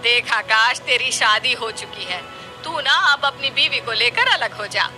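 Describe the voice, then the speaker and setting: Woman as a high-voiced, worried housewife mother. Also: lively intonation, measured pace, sharp tone, faint music behind, heavily acted, rough recording. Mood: stern. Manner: anxious, homely, plaintive. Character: worried, thrifty middle-aged housewife mother, easily swayed. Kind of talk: animated moral story dialogue.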